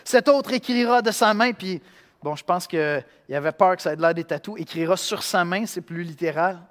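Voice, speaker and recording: A man from Quebec with a Québécois accent, preaching a sermon in an animated way, acting out voices and swinging between loud and hushed. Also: high voice, normal speed, in a large hall. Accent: Québécois French. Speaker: pastor.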